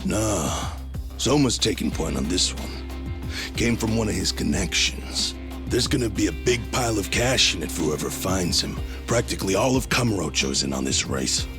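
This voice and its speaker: A deep-voiced, menacing gang enforcer from a video game. He swaggers and mocks, his pitch moving freely as he taunts, over prominent background music. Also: lively intonation, normal speed. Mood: cocky. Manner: swaggering, mocking, sudden drops to a menacing hush. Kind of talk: English video game dub acting.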